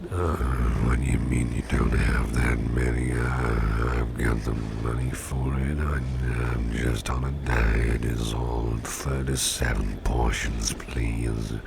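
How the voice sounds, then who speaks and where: A man playing a drowsy, gluttonous, protective monster businessman in an ASMR roleplay, murmuring haltingly in a breathy, gravelly voice. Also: a bass voice, little pitch movement, full character voice. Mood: hungry, polite. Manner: drowsy, halting, mumbling with drawn-out words. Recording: heavy background noise.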